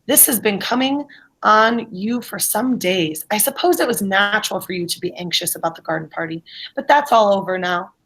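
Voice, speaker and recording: A husky, dismissive middle-aged gentleman, read by a woman in an amateur readers' theatre piece. He talks down in emphatic, old-fashioned phrases, brusque and sure of himself. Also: medium pitch, normal speed, rough recording. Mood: placating.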